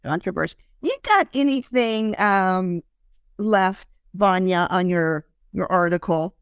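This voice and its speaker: A woman's voice: fairly low pitch, animated, slow, smooth, dry recording, studio quality. A woman hosting a podcast in casual interview chat, talking loosely with many ums and fillers. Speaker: podcast host.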